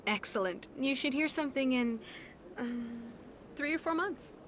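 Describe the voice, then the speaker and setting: High-voiced polite receptionist. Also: female voice, unhurried, fairly bright tone, slight background noise. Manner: courteous, professional, slightly coy. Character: polite young alien receptionist, politely gatekeeping. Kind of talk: video game voice acting.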